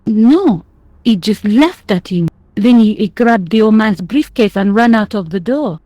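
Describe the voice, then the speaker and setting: A woman's voice: mid-range voice, lively intonation, a little slow, mellow, no echo. An actress playing a blunt, groggy, grumbling French woman who likes a drink, with a French accent, in an adventure game. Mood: shaken, frank.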